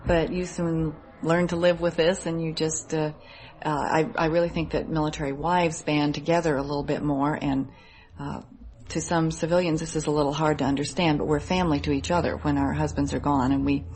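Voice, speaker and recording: A woman's voice: sits low, flat delivery, moderate pace, darkish tone. An American woman speaking as a military wife in a thoughtful radio interview.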